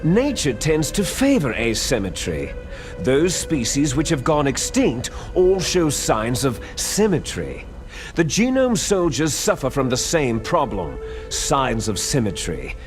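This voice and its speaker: An actor playing a cold, scheming male villain who lectures slowly and menacingly in a video game cutscene. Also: mid-range voice, huge pitch swings. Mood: lecturing. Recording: game audio with music behind.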